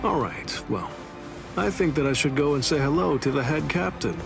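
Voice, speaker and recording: Swordsman, gravelly edge, casual, cocky, blunt, wry, anime game, clear music behind. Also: on the high side, animated, moderate pace. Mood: wry, defiant. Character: cocky, laid-back, blunt male swordsman, rebel leader. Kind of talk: anime game cutscene dialogue. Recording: dialogue over clear background music.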